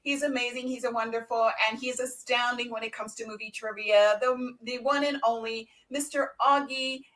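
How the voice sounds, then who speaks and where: A woman hosting an online talk show with excited introductions and banter, emphatically repeating words for effect. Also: fairly high pitch, moderate pace, poor audio.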